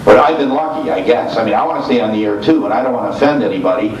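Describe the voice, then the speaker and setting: A man's voice: medium pitch, expressive, rapid-fire. American man speaking from across a roomy space. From small rural area in the United States. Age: middle-aged or older. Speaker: vintage radio show host, panelist. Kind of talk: informal panel talk. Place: convention room before an audience. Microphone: informal video recording, mic at a distance. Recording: roomy, distant mic with faint noise.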